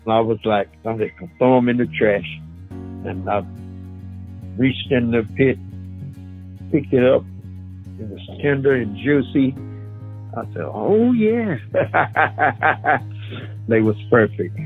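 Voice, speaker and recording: A man, a barbecue pitmaster telling his own story in a folksy, animated way. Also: medium pitch, slow, long pauses. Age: 70s. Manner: folksy, animated, loose Southern grammar. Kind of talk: personal storytelling. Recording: phone-like narrow sound with faint music.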